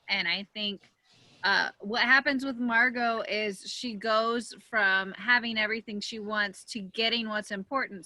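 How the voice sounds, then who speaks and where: A woman with a bright, fairly high voice speaks as a panelist. Her delivery is conversational and the recording is close and dry. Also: no echo. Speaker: panelist, actress. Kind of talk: casual panel discussion.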